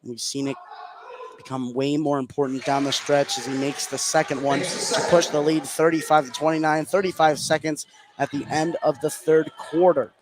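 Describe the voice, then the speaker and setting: A man's voice: a little high, steady tone, moderate pace, noisy background. An American man doing live play-by-play basketball commentary on radio.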